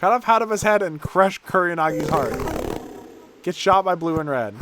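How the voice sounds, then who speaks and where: High-pitched irreverent man streaming a game. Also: lively intonation, moderate pace, background noise. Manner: irreverent, sweary, amused by absurd text. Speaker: streamer playing a video game. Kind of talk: reading game text aloud with live reactions.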